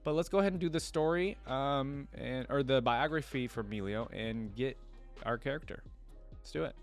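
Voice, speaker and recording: A man with a mid-pitched voice hosts a casual reaction video. He thinks aloud and explains informally, moving between commentary and reading aloud, with music playing behind him. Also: moderate pace.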